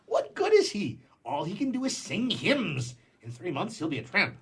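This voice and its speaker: A man's voice: high-pitched, wildly swooping pitch, moderate pace, a bit bright, slightly rough, heavily acted. An actor playing a jilted, cynical man of the world in a stage comedy, with a sarcastic, declamatory manner. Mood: mocking, sarcastic. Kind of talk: theatrical stage comedy acting.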